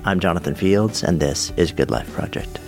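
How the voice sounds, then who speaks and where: A man hosting a podcast, introducing his guest and reading a sponsor spot in a measured, conversational way. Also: low voice, soft background music. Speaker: podcast host.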